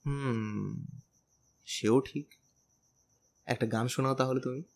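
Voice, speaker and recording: A man playing a tender, slightly insecure young lover in a romantic audio drama, speaking gently and intimately. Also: medium pitch, steady tone, takes it slowly, creaky, a little hiss or hum. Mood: affectionate.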